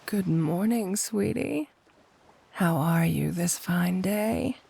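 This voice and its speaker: Slow, creaky, flirty supervillainess, acted by a woman. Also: lowish voice, expressive, full character voice. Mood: sweet, concerned.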